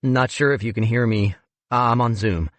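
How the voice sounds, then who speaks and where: A man's voice: mid-range voice, steady tone, moderate pace, dry recording, voice pushed up, natural voice. A man voicing an earnest, frustrated male paleontologist who talks politely and pleads. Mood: earnest pleading.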